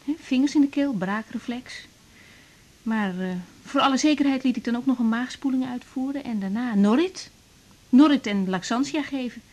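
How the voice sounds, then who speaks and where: An actress playing a young woman doctor, earnest and unsure, often speaking softly in a radio drama. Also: a little high, normal speed, lightly acted. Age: young adult.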